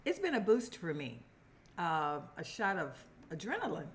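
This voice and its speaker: Hoarse woman, the host's mother. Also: on the low side, wildly swooping pitch, normal speed. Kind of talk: casual podcast chat.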